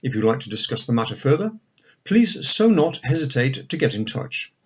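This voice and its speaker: Lively-pitched man reading formally, slightly dull recording. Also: moderate pace, slightly rough. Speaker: reader of an official email. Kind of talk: formal letter read aloud.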